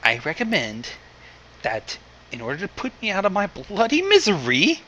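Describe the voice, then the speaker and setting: A man hosting an online fan fiction reading, chatting casually with swearing in an excitable, exasperated way. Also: sits high, moderate pace, a little hiss or hum. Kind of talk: casual, profane online chat.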